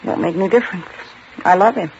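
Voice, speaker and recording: A woman's voice: fairly low pitch, fast, a little mellow, slight background noise, natural voice. An actress playing a distraught young woman in love with a robbery suspect, in 1950s radio drama style. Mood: pleading. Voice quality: emotional, pleading. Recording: muffled old radio broadcast.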